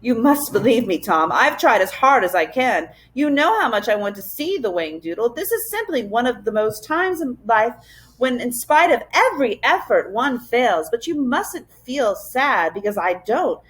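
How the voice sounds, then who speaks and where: A man's voice: very high-pitched, sing-song, normal speed, fairly bright tone, full character voice, poor audio. A woman voicing a kindly, brave older male professor from a storybook, with emphatic, formal old-fashioned phrasing. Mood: tender, resolute.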